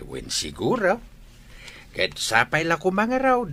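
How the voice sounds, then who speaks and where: A man's voice: fairly high pitch, huge pitch swings, takes it slowly, pushed and bright, full character voice. A man playing a hearty, advice-giving old village father in an Ilocano radio drama, with an Ilocano accent. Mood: reflective, agreeable. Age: older.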